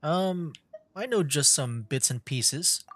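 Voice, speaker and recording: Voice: mid-range voice, lively intonation, takes it slowly, no echo. A game streamer giving casual livestream commentary, thinking aloud in a hesitant way. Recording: voice over loud game music. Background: game music.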